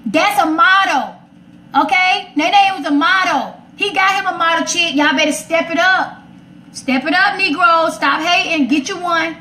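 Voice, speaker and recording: A woman's voice: extremely high pitch, a little slow, pushed and bright, slight background noise. An American woman hosting a casual radio chat, outspoken, sassy and emphatic as she gives her opinions. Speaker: radio segment host. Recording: roomy echo.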